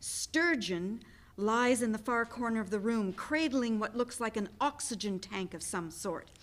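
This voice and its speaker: Woman, slightly high pitch, event host, stage-direction narration. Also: a little slow.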